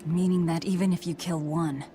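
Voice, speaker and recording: An actress playing a stern, pragmatic young woman in a fantasy game, serious and measured. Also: on the low side, steady tone, warm tone, faint music behind, close to an everyday voice. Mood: grim, serious. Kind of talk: dramatic game dialogue.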